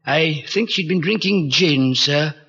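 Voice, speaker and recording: An actor playing a timid, proper gentleman butler with fussy formal manners in a 1940s radio drama. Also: a little high, measured pace, creaky, slightly rough, exaggerated voice. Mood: shaken, deferential. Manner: prim, formal, flustered. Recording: muffled 1949 radio recording.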